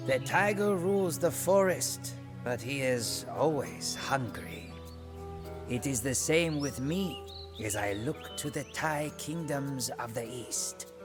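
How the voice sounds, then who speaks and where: A proud, ambitious Burmese warrior king for a game cutscene, speaks at a measured pace over prominent music. His mid-pitched voice is grave and theatrical, declaiming his own tale with brooding, imperious weight. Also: lively intonation. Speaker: narrator and protagonist of game cutscenes. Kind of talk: dramatic scripted first-person narration.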